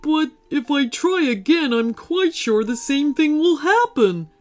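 A woman voicing a gentle, gloomy male sea monster in a storybook, slow and glum. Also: fairly high pitch, sing-song.

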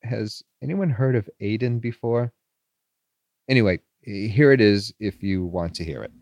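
Actor as skeptical forum guy in a horror podcast, casual and dismissive, low voice, very dry close sound. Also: unhurried, darkish tone, dry recording. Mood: skeptical, uneasy. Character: skeptical male internet forum poster. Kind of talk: horror audio drama monologue.

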